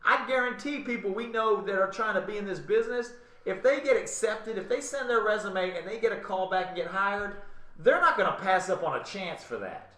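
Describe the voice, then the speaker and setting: American guy, high bright voice, keeps hammering his point in casual live chat, echoey room. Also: animated, fairly quick. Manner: insistent, emphatic, repeats points, mild swearing. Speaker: talk show co-host. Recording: roomy, echoey live webcast.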